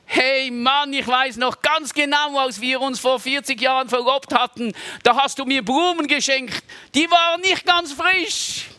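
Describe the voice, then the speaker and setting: A man's voice: very high voice, steady tone, a little slow, bright, forceful tone, no echo, pitched above the performer's own voice, exaggerated voice. A man voicing a nagging older wife who mocks her husband over old grudges. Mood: reproachful. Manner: mocking, reproachful.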